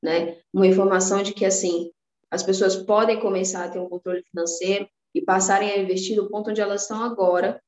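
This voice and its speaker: A woman's voice: mid-range voice, steady tone, fast, darkish tone. Woman from Salvador, echoey room. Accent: Bahian Brazilian Portuguese. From Salvador, Bahia, Brazil. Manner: earnest, motivational, many fillers and restarts. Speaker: podcast guest, personal finance influencer. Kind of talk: casual interview chat.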